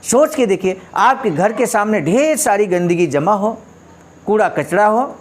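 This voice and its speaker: Male speaker, high register, very lively intonation. Instructive motivational delivery: reads quotes, explains them, drives points home through repetition. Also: unhurried. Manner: emphatic, didactic, rhetorical questions, repeats points for stress. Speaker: speaker explaining a leader's speech.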